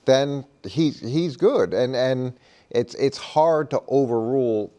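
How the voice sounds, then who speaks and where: A man arguing a point as a coach on a sports panel, halting and repeating words as he goes. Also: mid-range voice, lively intonation, dark tone. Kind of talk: unscripted sports debate.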